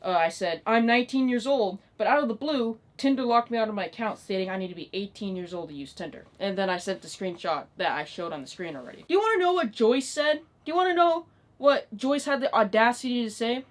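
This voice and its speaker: Young woman, late teens, higher-pitched voice, exasperated, sweary, emphatic, casual vlog rant. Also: expressive, a little fast. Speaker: online video creator. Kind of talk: ranting vlog, casual unscripted talk.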